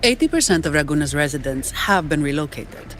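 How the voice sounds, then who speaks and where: Alert female officer, clipped businesslike delivery, efficient, video game, soft music behind. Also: sits low, very animated, a little fast.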